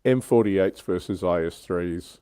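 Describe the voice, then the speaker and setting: A man's voice: deep voice, unhurried, dark tone, smooth, no echo. A man hosting a casual panel discussion on a hobby livestream. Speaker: host of a tabletop wargaming livestream.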